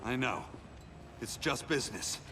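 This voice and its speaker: A man's voice: mid-range voice, sing-song, unhurried, heavy background noise. An American man playing an earnest, weary superhero speedster in a video game cutscene. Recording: loud music behind.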